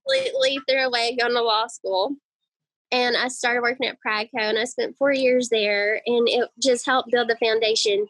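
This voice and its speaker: A woman with a high, girly voice, chatting enthusiastically as a guest on an interview podcast. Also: steady tone, normal speed, fairly bright tone, no room sound, poor audio. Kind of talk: casual interview conversation.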